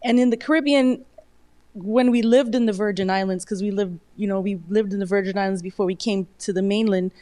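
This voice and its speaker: A woman's voice: medium pitch, fairly even intonation, brisk, mellow. Conversational American woman co-host. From United States. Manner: thoughtful, conversational, uses "you know" often. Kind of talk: casual panel conversation.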